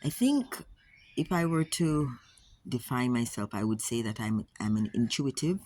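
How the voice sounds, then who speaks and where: A woman with a Jamaican accent, speaking to camera as a wellness coach in a measured, earnest way, often repeating words for emphasis. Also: a bass voice, animated, a little mellow, no echo. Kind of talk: casual talk to camera.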